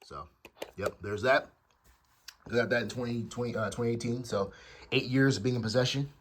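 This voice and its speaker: An American man giving a casual show-and-tell as a hobby video host, halting and correcting himself as he goes, recorded in a room at home. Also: mid-range voice, fairly even intonation. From United States. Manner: halting, pausing mid-sentence, self-correcting. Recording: clearly noisy amateur home recording with clicks.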